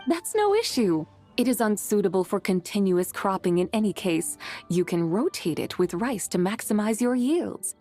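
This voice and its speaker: A woman's voice: mid-range voice, big pitch swings, normal speed, warm tone, soft background music. A woman playing a proud, stern female immortal who lectures in lofty, archaic speech in a video game. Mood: lofty, instructive. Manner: haughty, archaic, lecturing diction. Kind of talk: game voice acting.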